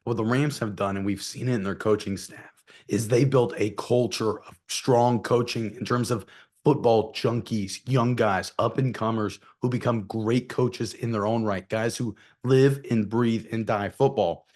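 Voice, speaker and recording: A man with a somewhat low, hoarse voice co-hosts a football talk show. He talks in a confident, emphatic way, circling back to the same phrases to drive his points home. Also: steady tone, measured pace, a little mellow. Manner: emphatic, repeats key phrases. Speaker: sports show co-host. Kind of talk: opinionated commentary.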